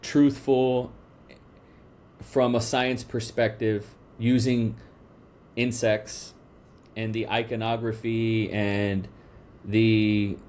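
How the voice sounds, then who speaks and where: A man hosting a casual podcast interview, talking slowly and feeling his way through long questions. He restarts often and leans on fillers, with a faint hiss under the voice. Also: on the low side, little pitch movement, darkish tone. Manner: halting, many restarts and fillers. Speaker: podcast host.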